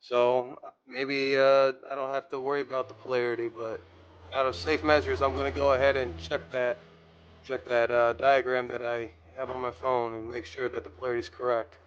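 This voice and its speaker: A man's voice: mid-range voice, little pitch movement, normal speed, dull audio, slight background noise. A man giving a casual hands-on tutorial, rambling a little as he thinks aloud while working. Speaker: DIY car audio vlogger.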